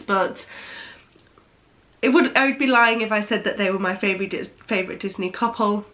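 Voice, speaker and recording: A woman's voice: mid-range voice, fairly bright tone. A young woman vlogging in a casual chatty way, sounding nasal from a cold and often restarting her sentences. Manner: chatty, hesitant with frequent restarts. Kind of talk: casual chat.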